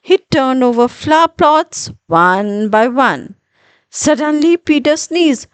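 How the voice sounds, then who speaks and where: A woman narrating a children's story in an expressive, deliberate storytelling manner with dramatic pauses. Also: high-pitched, clear, resonant tone, no room sound.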